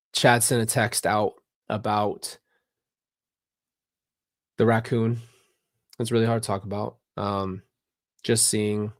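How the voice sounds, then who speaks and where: A man giving a personal, somber commentary on a livestream, speaking haltingly and often repeating phrases. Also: fairly low pitch, no room sound, clean studio sound. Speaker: documentary reaction host.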